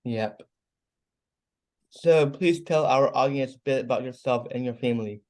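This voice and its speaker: A man's voice: medium pitch, unhurried, a little mellow. An American man working as a podcast host, putting interview questions to a guest. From North Carolina, United States.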